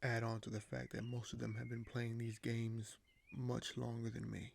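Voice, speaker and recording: A man playing a weary, paranoid, dryly candid king in an audio roleplay, speaking in measured, formal phrases with hesitant pauses. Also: mid-range voice, monotone, creaky, darkish tone, slight background noise. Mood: wary, frustrated. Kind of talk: audio roleplay monologue to a silent listener.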